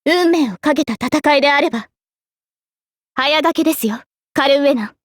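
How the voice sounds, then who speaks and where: Woman voicing a high-pitched, dignified young female knight. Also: normal speed, no echo, studio quality, natural voice. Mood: resolute, commanding. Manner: formal, crisp commanding delivery. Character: noble young female mage-knight, resolute, dignified battle leader. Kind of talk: anime game battle voice lines.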